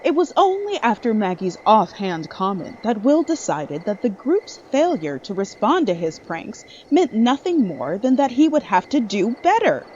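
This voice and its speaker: Expressive woman narrating a horror story. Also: on the high side, normal speed, few pauses, highs cut off, a little hiss or hum.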